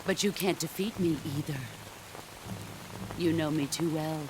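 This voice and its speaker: An actress playing a stern middle-aged female soldier and former mentor, speaking calmly and gravely in a game cutscene. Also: low-pitched, very noisy. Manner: calm, measured, grave. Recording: game cutscene with loud music behind.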